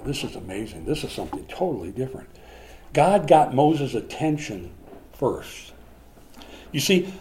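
A man preaching a conversational Bible lesson, animated at times and hushed at others. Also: mid-range voice. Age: older. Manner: animated, swings from loud to hushed.

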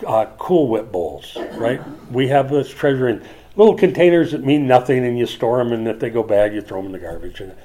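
A man's voice: mid-range voice, expressive, fairly quick, warm tone. A man in his sixties preaching a sermon, reflective, rising with emphasis when he reads scripture. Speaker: pastor.

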